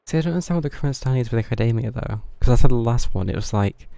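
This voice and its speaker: A man's voice: medium pitch, lively intonation, very fast, gravelly, mellow, no echo. A man casually commentating on his live gameplay, thinking aloud in a musing, rambling way. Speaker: video game streamer.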